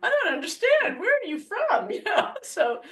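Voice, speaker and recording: A woman's voice: very high-pitched, normal speed, far from the mic. A woman from France, a podcast guest, telling personal stories in casual chat and laughing often. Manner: laughs often, animated storytelling. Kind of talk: casual conversational storytelling.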